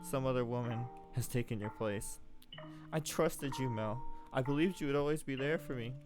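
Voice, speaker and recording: A man's voice: medium pitch, normal speed, music in the background, natural voice. A man voicing an anxious fourteen-year-old noble girl who talks in frantic, pleading questions. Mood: bitter.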